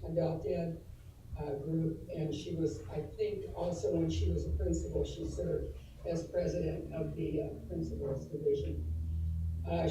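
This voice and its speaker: A woman, slightly hoarse from allergies, giving an earnest tribute at a virtual school board meeting, with frequent fillers and restarts. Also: on the low side, dark tone, a little hiss or hum. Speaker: adult education administrator presenting to school board. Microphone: video call. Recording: echoey room, distant from the mic, faint ambience.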